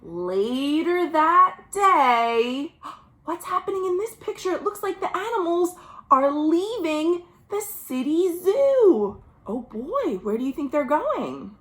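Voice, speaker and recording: An American woman reading a picture book aloud to young children, with chatty asides and gentle questions, drawing out key words. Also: very high-pitched, sing-song, takes it slowly. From United States. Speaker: children's librarian hosting a virtual storytime. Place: at home.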